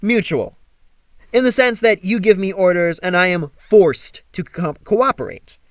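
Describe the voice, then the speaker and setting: A vain fallen god trapped as a teenage boy, played by a male reader, theatrical and whiny. The voice rides high and swings dramatically in pitch, in indignant audiobook style. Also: animated, a little slow, no echo. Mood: indignant, sarcastic. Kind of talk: first-person audiobook character acting.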